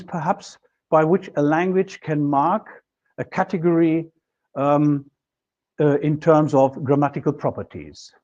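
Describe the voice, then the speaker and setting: A middle-aged man lectures in a classroom, explaining points step by step as he writes on a board. His voice sits a little high for a man. The sound is dull and lo-fi, picked up by a camera across the room. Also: mellow. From Germany. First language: German. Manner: measured, explanatory, writes on a board while talking. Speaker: linguistics lecturer. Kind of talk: recorded university lecture. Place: classroom with a projection screen.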